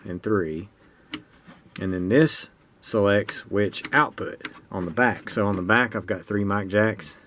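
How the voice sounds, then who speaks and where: A man's voice: lowish voice, slight background noise. An American man giving a casual, unscripted hands-on explanation of a homemade project in a plain-spoken, folksy way. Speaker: hobbyist presenter demonstrating a homemade radio project.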